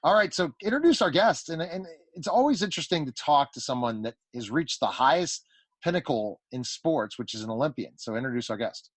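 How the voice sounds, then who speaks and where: A man's voice: on the high side, big pitch swings, fairly quick, no room sound. A man hosting a radio show with casual, conversational interview banter.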